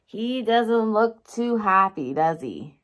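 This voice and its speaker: A woman in her thirties, a teacher reading a picture book aloud to young children in an animated way, with questions for them. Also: mid-range voice, takes it slowly. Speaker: early learning teacher. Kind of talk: children's story time read-aloud. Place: indoors by a large window in a room at home.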